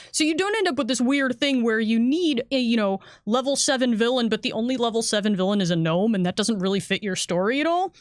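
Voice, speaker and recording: A woman's voice: on the high side, dry recording, studio quality. A woman who works as a game designer, chatting casually and enthusiastically as she explains a product on a livestream. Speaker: game designer and editor on a panel.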